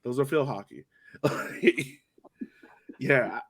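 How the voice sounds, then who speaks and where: A man's voice: medium pitch, drawn-out words, fairly bright tone, breathy edge. A young man in casual sports chat as a podcast host, slangy and joking in his delivery.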